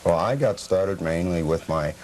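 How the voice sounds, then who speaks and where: A middle-aged man with a very deep voice gives interview answers. He is measured and slightly formal, stating things plainly, on an old cable TV tape with faint noise. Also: darkish tone. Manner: measured, formal, matter-of-fact. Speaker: interview guest, memorabilia collector. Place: television program set.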